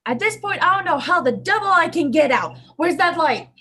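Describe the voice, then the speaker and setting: Woman playing an alarmed, high-voiced radio play father. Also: animated, fairly quick, bright, forceful tone, exaggerated voice. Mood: frightened, exasperated. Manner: urgent, exclamatory, demanding. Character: Mr. Morris, alarmed and impatient father of a young girl.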